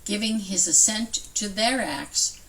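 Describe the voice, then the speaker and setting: A woman's voice: medium pitch, measured pace, fairly bright tone. A woman reading a formal historical document aloud. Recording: somewhat distant from microphone.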